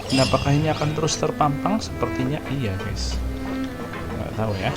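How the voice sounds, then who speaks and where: A man with a colloquial Jakarta Indonesian accent giving casual gameplay commentary over loud game music. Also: medium pitch, fairly quick. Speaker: gaming streamer.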